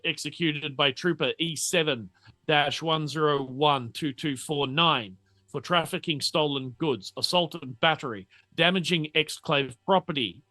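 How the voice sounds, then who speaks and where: A man running a tabletop roleplaying game as game master, narrating off the cuff and often halting to think mid-sentence. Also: a little high, pushed and bright, no room sound.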